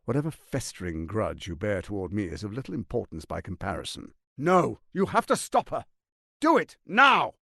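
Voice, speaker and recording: An actor playing a scheming, arrogant middle-aged tyrant lord in a video game, with a pompous, silky, menacing delivery. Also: male voice, fairly low pitch, huge pitch swings, moderate pace, vocal fry, pushed and bright, no echo, clean studio sound, heavily acted. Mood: urgent, commanding. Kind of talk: theatrical video game voice acting.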